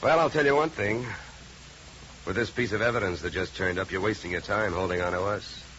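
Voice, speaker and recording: A man acting a cool private eye with a forceful, lowish voice and clipped, sardonic delivery. He questions and needles like a hardboiled 1950s radio sleuth. The old broadcast sound is muffled, with a light hiss. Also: brisk, natural voice. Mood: shrewd, confident. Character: cool, shrewd, wisecracking male private detective. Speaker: lead actor in a detective radio serial. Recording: dull, hissy 1950 radio recording.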